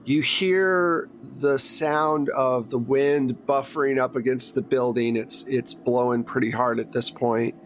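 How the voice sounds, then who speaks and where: A man's voice: medium pitch, fairly even intonation, measured pace, few pauses, slight background noise. A man acting as game master, narrating a tabletop horror game in a conversational way.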